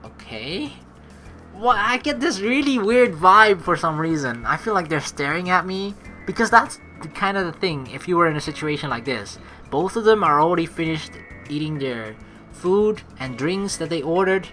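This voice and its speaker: A woman commentating casually over a game, reading its text and thinking aloud. Her voice is on the low side for a woman yet bright and very expressive. Soft game music sits behind her. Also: normal speed. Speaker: let's player.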